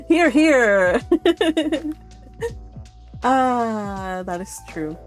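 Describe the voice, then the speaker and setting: Giggly, rambling woman host, higher voice. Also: expressive, drawn-out words, faint music behind. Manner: giggly, rambling, frequent laughter. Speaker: host of a read-along stream. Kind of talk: casual chat with participants.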